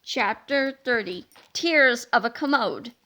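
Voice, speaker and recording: Female storyteller for children's audiobook: animated, dramatic delivery, high register, bright, forward tone. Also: slow pace. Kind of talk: animated children's book read-aloud.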